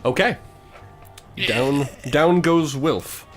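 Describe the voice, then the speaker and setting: A man's voice: fairly high pitch, drawn-out words. A man running a tabletop game as game master, improvising narration of the action and handling rules. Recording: music behind the voice. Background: music.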